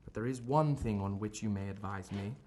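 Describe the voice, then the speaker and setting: A man acting a shaken, remorseful gentleman doctor in an audio drama, speaking formally and earnestly. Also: fairly low pitch, fairly quick, natural voice. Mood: troubled, earnest.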